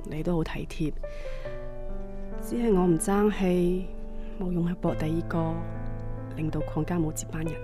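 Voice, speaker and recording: A woman playing a devoted, soft-hearted middle-aged wife and daughter-in-law in a radio drama, tender and deferential. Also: lowish voice, steady tone, normal speed, long pauses, music in the background, natural voice. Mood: tender, regretful.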